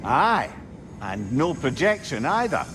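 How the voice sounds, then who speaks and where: A man's voice: medium pitch, sing-song, slow pace, noisy background. A man playing a loyal, wry older companion in a game cutscene, familiar and brotherly. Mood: musing. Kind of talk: video game cutscene acting.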